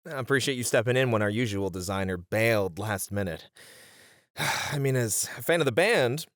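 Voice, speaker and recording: A man's voice: medium pitch, animated, moderate pace, a bit bright, dry recording, close to an everyday voice. A man playing a responsible, exasperated band manager in a scripted roleplay, talking in a dry, scolding manner. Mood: matter-of-fact.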